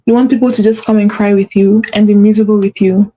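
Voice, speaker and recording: A woman's voice: mid-range voice, steady tone, fast talker, dark tone, low-quality recording. A woman hosting a motivational talk, speaking with a stutter and repeating phrases for emphasis. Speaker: host.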